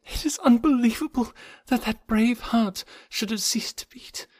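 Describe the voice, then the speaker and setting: Man voicing an anguished young American woman, pitched high. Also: expressive, moderate pace, no echo, full character voice. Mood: bleak, sobbing. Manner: plaintive, breathy exclamations, anguished. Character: grief-stricken young American woman, tender and dramatic. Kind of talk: dramatic audiobook character voice.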